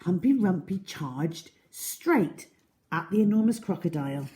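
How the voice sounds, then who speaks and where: A woman reading a children's story aloud in a dramatic, deliberate, expressive way. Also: on the low side.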